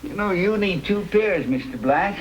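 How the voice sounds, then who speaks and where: Animated, helpful spectacle fitter. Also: male voice, high-pitched, moderate pace, a little hiss or hum, poor audio. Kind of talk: old-time radio western acting.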